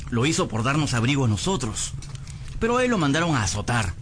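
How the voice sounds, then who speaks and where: A man's voice: mid-range voice, very animated, fast talker, a bit bright, slight background noise. An actor playing a grown picaresque rogue who tells his life story, theatrical and wry, in a radio drama. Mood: wry, reflective. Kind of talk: dramatised first-person radio narration.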